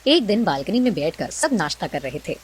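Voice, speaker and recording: A woman's voice: fairly low pitch, sing-song, a little fast, slight background noise. A woman reading scripted narration for a cartoon family story.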